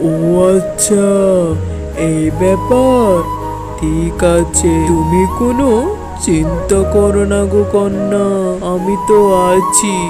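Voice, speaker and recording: Woman as a slow-spoken village healer-magician. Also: mid-range voice, natural voice. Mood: reassuring. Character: calm village healer-magician, reassuring and firm. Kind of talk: children's cartoon voice acting. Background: music.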